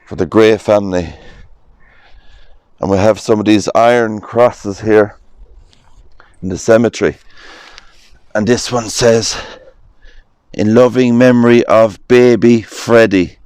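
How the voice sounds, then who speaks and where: A man with an Irish accent and a hoarse voice, speaking low and smooth. He strolls outdoors among old graves, talking in a gentle, unhurried way with plenty of pauses. His voice sounds close and dry. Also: steady tone, dry recording. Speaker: vlogger. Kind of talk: casual walking vlog, reading headstones aloud.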